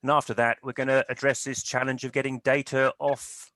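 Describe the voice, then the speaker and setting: A man hosting a webinar, introducing the topics and the first speaker in a measured, explanatory way. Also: mid-range voice, flat delivery, a bit bright, no echo. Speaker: webinar host. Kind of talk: moderating a webinar. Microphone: video call.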